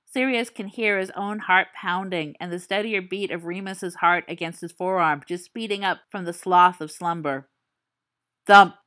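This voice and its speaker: A woman reading a fan-written story aloud, with expressive, suspenseful storytelling. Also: fairly low pitch, moderate pace. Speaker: audiobook reader.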